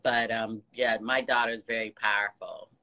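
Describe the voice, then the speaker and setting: A woman's voice: rumbling low, level tone, normal speed. A woman in her sixties on a casual phone video chat, gushing with praise and encouragement, talking to the camera from an office. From United States. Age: 60s. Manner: gushing, warm praise with frequent laughs. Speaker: fan on a video call. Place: office with ceiling lights. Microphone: phone camera held close.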